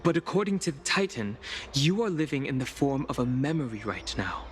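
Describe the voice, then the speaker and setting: Actor for a sincere young male companion; calm, explanatory, mid-range voice. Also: mid-range voice, brisk, a little hiss or hum, close to an everyday voice. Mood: earnest.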